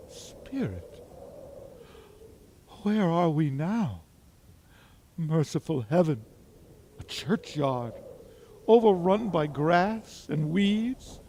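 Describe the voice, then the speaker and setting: An actor playing an old miser in a theatrical, exclamatory radio drama style, full of impassioned feeling. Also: male voice, high voice, darkish tone, a little hiss or hum, exaggerated voice. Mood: fearful, sombre. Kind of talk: golden age radio drama acting.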